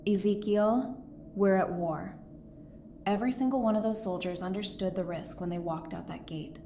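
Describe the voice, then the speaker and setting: A woman's voice: medium pitch, normal speed, warm tone, a little hiss or hum, lightly acted. A woman playing a caring, candid, wry survivor in an audio drama, speaking earnestly and expressively. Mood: concerned.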